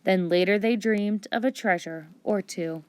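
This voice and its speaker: A woman reading a storybook aloud with expressive children's narration. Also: medium pitch, unhurried, clear, resonant tone, dry recording. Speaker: storybook reader.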